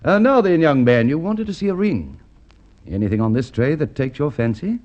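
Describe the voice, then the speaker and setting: A man's voice: fairly high pitch, sing-song, moderate pace, a little mellow, highs cut off. An actor playing a fussy, indignant male shop proprietor, in vintage radio drama style. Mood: courteous, obliging. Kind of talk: Golden Age radio drama acting.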